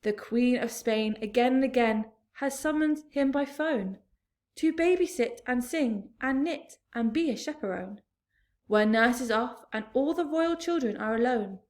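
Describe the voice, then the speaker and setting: A woman's voice: on the high side, lively intonation, measured pace, a little mellow, voice pushed up. A woman voicing a small, cheerful, friendly boy in a storybook reading.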